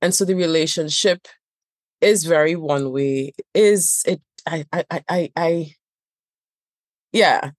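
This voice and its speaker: Trinidadian woman guest, low and dry, emphatic, builds points by repetition. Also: slow pace, pauses often, no echo. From Trinidad and Tobago. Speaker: guest therapist. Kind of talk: podcast conversation.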